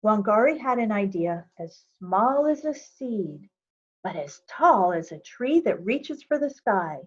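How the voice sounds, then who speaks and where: A woman reads a picture book aloud at an unhurried pace. Her voice sits at a medium pitch, and she phrases each sentence carefully and expressively for young listeners. The sound is slightly dull and lo-fi. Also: very animated. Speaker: volunteer reader of a children's book.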